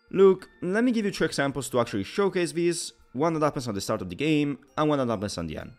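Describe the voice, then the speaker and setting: A man narrating a scripted video game review in an emphatic, opinionated way. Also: mid-range voice.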